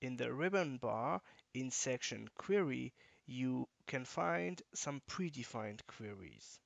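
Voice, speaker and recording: A man giving scripted, measured narration for a software screencast tutorial, explaining features step by step. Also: medium pitch, animated, gravelly, no echo. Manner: measured, instructional.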